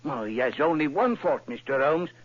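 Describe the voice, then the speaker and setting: A man's voice: mid-range voice, lively intonation, normal speed, natural voice. A man playing a chatty, rambling pawnbroker. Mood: telling his story. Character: Jabez Wilson, talkative, simple middle-aged pawnbroker. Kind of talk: old-time radio drama. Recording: dull lo-fi vintage radio recording.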